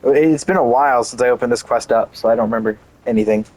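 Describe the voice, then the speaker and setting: A man's voice: medium pitch, fast, darkish tone. A man giving casual playthrough commentary, rambling and thinking aloud as he goes. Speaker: gaming video host.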